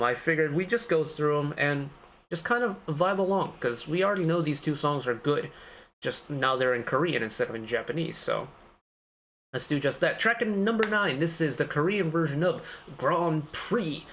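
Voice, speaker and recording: Chatty young man with a higher-pitched voice. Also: expressive, moderate pace, fairly bright tone, slight background noise. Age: young adult. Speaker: music reaction host. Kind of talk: casual album reaction commentary.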